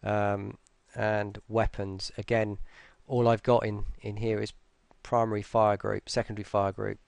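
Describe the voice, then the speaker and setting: A tired man giving a casual, unscripted software walkthrough in a hesitant, low-key, rambling way, speaking close to the mic. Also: flat delivery, measured pace, gravelly, no echo. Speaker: voice pack creator presenting an update.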